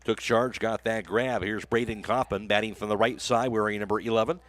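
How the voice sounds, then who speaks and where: A man's voice: lowish voice, steady tone, brisk, a bit bright. Male American baseball play-by-play announcer. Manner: clipped phrases, describes action as it happens. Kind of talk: live baseball play-by-play commentary.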